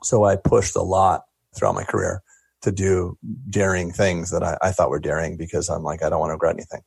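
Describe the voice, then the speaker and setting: A man's voice: low-pitched, little pitch movement, a little fast, creaky, darkish tone, breathy edge, no echo. A man in his forties, a talkative dentist guest chatting in a casual interview, reflective and joking as he answers. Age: 40s.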